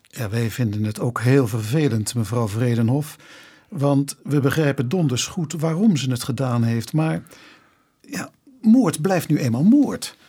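An actor playing an earnest police inspector in a Dutch radio drama, formal and careful, polite but pressing. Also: male voice, mid-range voice, expressive, a little slow, warm tone, breathy edge. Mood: polite, probing.

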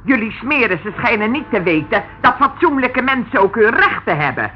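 A woman's voice: on the high side, very animated, moderate pace, slight background noise. An actress playing a brash, scornful woman under police questioning in a radio drama. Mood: indignant, snappish. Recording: tinny, band-limited old radio recording.

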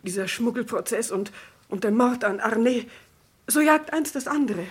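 A woman's voice: on the high side, expressive, normal speed, breathy edge. An actress playing a flustered, world-weary French businessman's wife in a vintage German radio crime drama, plaintive and agitated, with French exclamations. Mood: weary, bitter.

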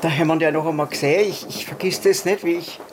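A man giving a halting, conversational recollection as a witness. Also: fairly high pitch, fairly even intonation. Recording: clearly noisy interview.

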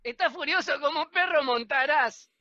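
A woman's voice: high voice, moderate pace, bright, forceful tone, no room sound, highs cut off. A woman playing a jeering, bloodthirsty member of a mob in a radio play. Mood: cruel jeering.

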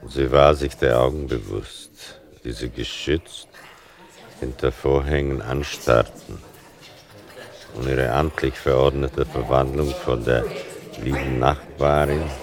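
An actor playing a son who narrates memories of his mother in a radio drama, measured, reflective and wry. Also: rumbling low, fairly even intonation, full character voice. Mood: sober. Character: son soberly recalling his mother's persecution.

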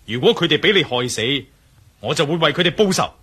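An actor playing a menacing hero with a gun in a radio drama, commanding and sardonic, with a Hong Kong accent. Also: male voice, a little high, expressive, a little fast, fairly bright tone, breathy edge. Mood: cold menace. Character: menacing male hero with a gun, demanding answers. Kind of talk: Cantonese radio drama acting.

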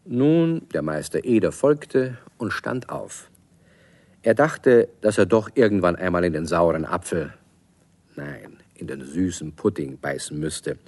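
Deep guy narrating a kids radio play, really lively intonation. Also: a little slow. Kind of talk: scripted children's story narration.

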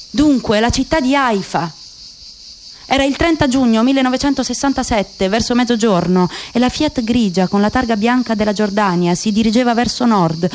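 A woman with a fairly high voice reads a literary story as radio theatre. She phrases with deliberation and leaves pauses between thoughts. There is faint noise behind her. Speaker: actress narrating a literary radio play. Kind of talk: dramatic literary narration.

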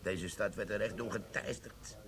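Gravelly low-voiced sergeant, cheeky wheeler-dealer, played by a man in a radio drama with pub chatter behind. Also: fairly even intonation, moderate pace, close to an everyday voice. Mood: bantering. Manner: cheeky, flirtatious, conspiratorial. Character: sly scheming middle-aged army sergeant. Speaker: army sergeant Derry. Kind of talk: radio play acting.

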